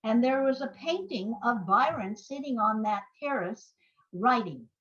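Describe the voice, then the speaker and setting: A woman's voice: fairly high pitch, lively intonation, unhurried, a bit bright, breathy edge, highs cut off. An older woman, a playwright guest on an interview podcast, telling anecdotes in a rambling, enthusiastic way.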